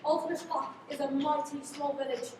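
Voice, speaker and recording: A woman's voice: fairly even intonation, brisk, natural voice. A woman acting a friendly, musing Scottish village cart driver in a radio play. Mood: folksy, musing. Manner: folksy, musing. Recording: echoey, distant livestream with rumbling noise.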